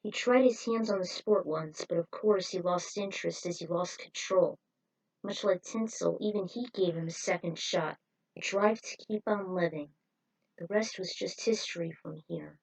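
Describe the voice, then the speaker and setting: A woman's voice: on the low side, normal speed, creaky, a little mellow, rough recording. A woman narrating a scripted story, reading it aloud as a storyteller.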